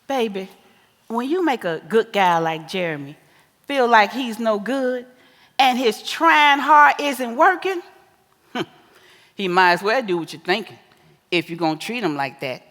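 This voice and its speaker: A woman acting a sassy, scolding, wise older American mother in a stage play, with an animated, folksy, preachy delivery. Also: on the high side, a little slow, long pauses. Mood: scolding, exasperated. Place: live stage in a theater. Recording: echoey theater hall with long reverb.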